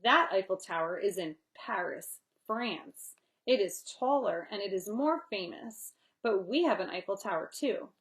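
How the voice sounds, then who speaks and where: A woman reading a picture book aloud to young children in an expressive way, pausing to explain and chat. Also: mid-range voice. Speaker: read-aloud storyteller.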